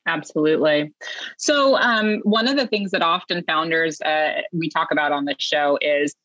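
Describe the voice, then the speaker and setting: A woman's voice: low voice, normal speed, fairly bright tone, dry recording, highs cut off. A woman hosting a podcast, talking conversationally as she sums up advice and asks her guest questions, often adding "right?". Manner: conversational, recapping and asking with "right?". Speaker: podcast host.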